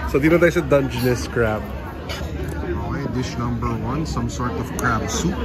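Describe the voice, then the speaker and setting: A man's voice: medium pitch, normal speed, a crowd in the background. A Filipino-accented man in his thirties chatting casually and playfully as a travel vlogger, recorded on a handheld camera. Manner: playful, informal. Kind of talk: casual vlog chat mixing Tagalog and English. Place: on the go: hotel room, malls, streets, restaurants. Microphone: handheld camera or phone at arm's length.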